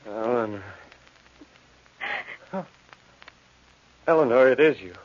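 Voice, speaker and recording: Haunted desperate young man, melodramatic, pitch all over, muffled old radio sound. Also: expressive, slow. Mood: dazed, fearful. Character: Michael, bewildered, desperate young man, bride's beloved. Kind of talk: old-time radio horror acting. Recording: muffled vintage radio recording.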